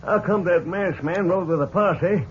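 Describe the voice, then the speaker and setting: High-pitched bewildered outlaw henchman. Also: a little slow. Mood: baffled. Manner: bewildered, questioning. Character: puzzled male outlaw henchman, dim and excitable. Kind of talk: 1950s western radio acting. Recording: muffled, noisy old radio broadcast.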